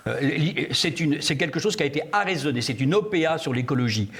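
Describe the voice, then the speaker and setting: A man's voice: fairly high pitch, animated, brisk, fairly bright tone, husky. A man speaking as a guest in a televised political debate, emphatic and sardonic as he argues. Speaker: panel guest.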